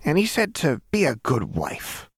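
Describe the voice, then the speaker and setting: A man's voice: on the high side, huge pitch swings, a little slow, creaky, breathy edge, no room sound, clean studio sound, pitched above the performer's own voice, exaggerated voice. A man voicing a brash, flippant young woman with a casual, slangy manner and a tender streak, in an audiobook. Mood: tender, teasing.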